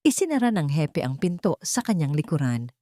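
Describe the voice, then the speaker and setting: A female narrator tells a dramatic story from a script. Her voice is a little low for a woman, and the recording is close and dry, with hardly any room in it. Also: big pitch swings, a little fast, mellow, dry recording.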